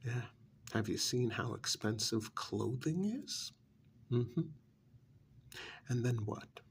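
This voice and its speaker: A man's voice: mid-range voice, lively intonation, slow, slight background noise. A man doing an ASMR role-play as a cynical, persuasive recruiter for vampires, sardonic and full of prodding rhetorical questions. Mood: mocking, sardonic.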